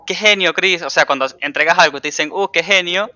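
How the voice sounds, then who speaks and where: A man's voice: high-pitched, fast talker, pushed and bright, no room sound. A man interviewing in a casual, informal way, often acting out quoted remarks as he talks. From Latin America, outside Argentina. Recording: dry but slightly dull, band-limited sound.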